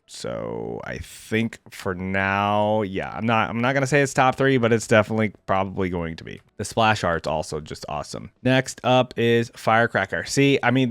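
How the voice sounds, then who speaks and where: A man's voice: mid-range voice, no room sound, studio quality. A man giving casual, off-the-cuff reaction commentary as a video game host, rambling a little as he thinks aloud.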